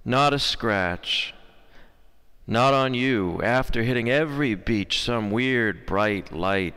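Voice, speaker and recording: An American man reading poetry with deliberate, dramatic phrasing and weighty pauses. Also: medium pitch, bright, forceful tone, smooth. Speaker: poet. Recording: long echoing hall reverb.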